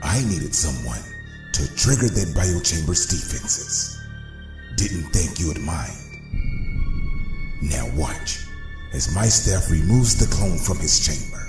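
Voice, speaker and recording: Menacing cartoon crime boss, extremely deep, slow theatrical delivery, wildly swinging intonation, scheming. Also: male voice, huge pitch swings, roomy sound, heavily acted. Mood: boastful, calculating. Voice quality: gravelly, very deep. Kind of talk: comic-book cartoon villain acting. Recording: music behind the voice. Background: music.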